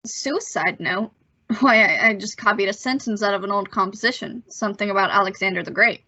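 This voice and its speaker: Woman playing a bright, earnest teenage radio boy. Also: mid-range voice, brisk, no echo, highs cut off. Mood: sheepish, earnest. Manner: earnest, boyish, hesitant. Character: plucky teenage boy, earnest, gee-whiz narrator hero. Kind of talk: old-time radio suspense acting.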